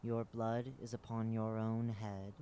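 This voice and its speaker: A man's voice: fairly low pitch, flat delivery, measured pace, dark tone, a little hiss or hum, close to an everyday voice. A man voicing a solemn, unseen divine master who pronounces judgment in grave scriptural words. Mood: stern judgment.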